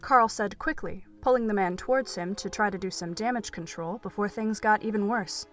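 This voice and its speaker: A woman's voice: medium pitch, normal speed. Woman narrator, scripted storytelling, mid-range voice, faint music behind.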